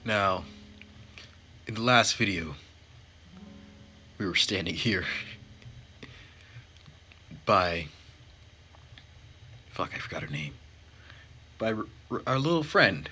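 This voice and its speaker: Male gaming host, low but very bright and forward, reads story narration and comments casually. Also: expressive, slow pace, long pauses. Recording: music behind the voice.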